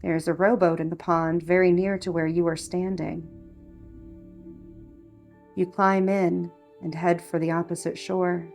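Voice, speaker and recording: Female narrator for guided meditation: lowish pitch, creaky texture, measured and hushed scripted delivery. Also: flat delivery, soft background music.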